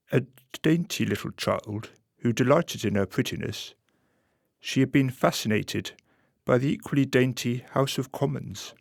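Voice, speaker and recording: A male narrator tells a scripted story for a radio play. His voice has a gravelly creak and a wry, measured manner, recorded very dry. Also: medium pitch, no echo.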